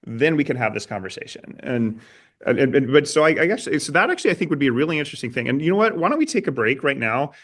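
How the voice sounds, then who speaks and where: A man's voice: a little high, big pitch swings, fairly bright tone. Earnest male interviewer thinking aloud. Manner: earnest, halting, repeats words as he thinks aloud. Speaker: interviewer, host. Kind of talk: conversational interview.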